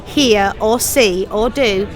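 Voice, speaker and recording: A woman's voice: mid-range voice, takes it slowly, crowd noise. A middle-aged woman, a pantomime writer, director and actor, answering interview questions in a chatty, effusive way and laughing easily.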